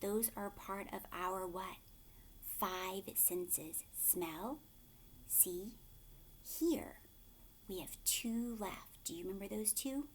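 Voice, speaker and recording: Slow-paced woman reading to children. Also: medium pitch, a little hiss or hum. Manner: expressive, questioning, emphatic on key words. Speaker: teacher reading aloud to children. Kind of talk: children's storybook read-aloud with questions.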